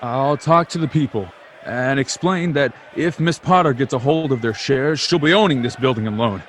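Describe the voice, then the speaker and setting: A man's voice: mid-range voice, normal speed, a bit bright, a little hiss or hum, close to an everyday voice. A man playing an earnest, hot-tempered young small-town man in a radio drama, emphatic and impassioned. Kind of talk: old-time radio drama acting.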